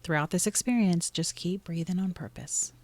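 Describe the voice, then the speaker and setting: A woman narrating a guided meditation in a soothing, gently instructive way, pausing between short phrases. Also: on the low side, slight background noise.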